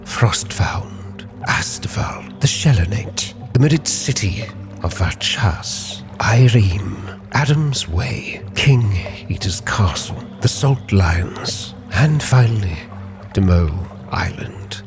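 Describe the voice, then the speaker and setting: Slow, low-voiced man narrating solemnly over music. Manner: measured, solemn dramatic delivery. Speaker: storyteller, roleplay channel host. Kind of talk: scripted in-character narration of a sea captain's log. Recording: music bed behind the voice.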